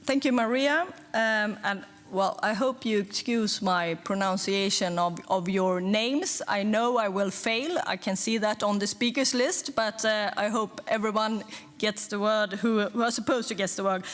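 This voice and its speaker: A woman's voice: mid-range voice, a little slow. A woman chairing a plenary session, talking in an informal, apologetic way with fillers. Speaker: conference chair.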